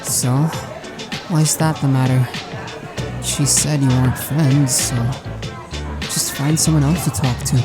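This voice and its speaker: A woman playing an aloof, indifferent teenage boy in a fan audio drama, blunt and deadpan. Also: extremely low pitch, a little slow, long pauses, reverberant, over music. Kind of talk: fan audio drama, anime game adaptation.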